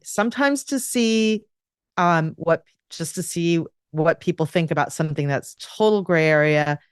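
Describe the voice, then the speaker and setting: Smooth, low-voiced woman guest. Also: no echo, studio quality. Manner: chatty, with restarts and "um"s. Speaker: guest grammar advisor. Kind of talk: casual live podcast chat.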